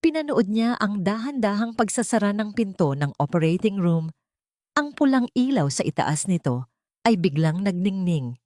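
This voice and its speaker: A woman reading a scripted dramatic story as its narrator, with measured, dramatic delivery. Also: mid-range voice, big pitch swings, dark tone, no echo, clean studio sound.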